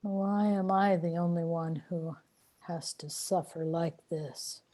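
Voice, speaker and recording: A woman playing a weary, complaining wanderer in a radio play, plaintive and deadpan. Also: lowish voice, takes it slowly. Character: weary, complaining wanderer trapped in a too-tight body.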